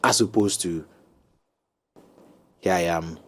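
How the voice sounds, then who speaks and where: A man talking as a guest brand consultant on a panel talk show, pausing with many ums, then growing emphatic. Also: sits low, a little hiss or hum. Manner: hesitant, many ums, grows animated and emphatic.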